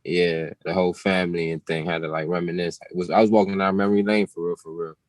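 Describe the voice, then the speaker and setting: A man's voice: deep voice, level tone, fast, no room sound. A man in his twenties with a Jamaican accent, a musician chatting casually as a guest on an interview show. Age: 20s. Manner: laid-back, slangy, laughs often.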